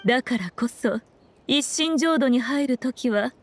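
A woman's voice: high voice, a little slow, slight background noise. A woman playing a sly, regal fox shrine priestess in an anime game, speaking in an archaic, haughty and composed way. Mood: measured, knowing. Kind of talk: anime video game acting. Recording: music behind the voice.

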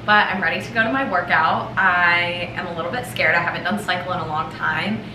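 A woman's voice: on the low side, steady tone, brisk. A young American woman talks casually to her vlog camera at home. The room is echoey, with some background noise. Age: 20s. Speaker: vlogger. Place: indoors at home, rooms and kitchen.

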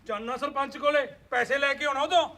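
A man's voice: very high-pitched, fairly even intonation, brisk, sharp tone. A man acting a resentful elder brother who grumbles and makes boastful threats. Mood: grumbling. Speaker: actor in a family quarrel skit.

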